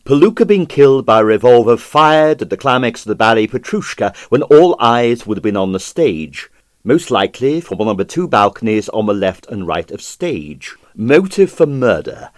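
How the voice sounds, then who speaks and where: A man reads a detective story aloud in a theatrical, measured way. His pitch moves widely from phrase to phrase, often clipped, and the sound is tight and dry with no room around it. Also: sing-song, dry recording. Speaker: audiobook narrator. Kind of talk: dramatized reading of a comic detective novel.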